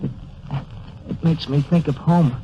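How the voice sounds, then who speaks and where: An actor playing a frightened, homesick nineteen-year-old German recruit in a 1930s radio drama. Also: male voice, fairly high pitch, fairly even intonation, a little slow. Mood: dreamy, homesick. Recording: dull, noisy 1930s radio recording.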